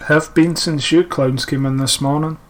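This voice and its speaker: A man's voice: on the high side, steady tone, moderate pace, lightly acted. An actor playing a sneering, menacing male criminal in a radio drama. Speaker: criminal prisoner.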